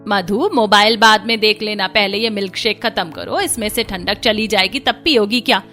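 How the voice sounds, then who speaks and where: A woman's voice: medium pitch, moderate pace, sharp tone, faint music behind, lightly acted. A woman voicing a caring, resilient young daughter-in-law in a cartoon story. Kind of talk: Hindi cartoon story dialogue.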